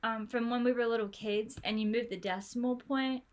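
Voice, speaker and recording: A woman's voice: on the high side, steady tone, normal speed. A woman coaching an online study group, talking in a chatty, encouraging way full of fillers and restarts over a video call. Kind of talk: online tutoring session.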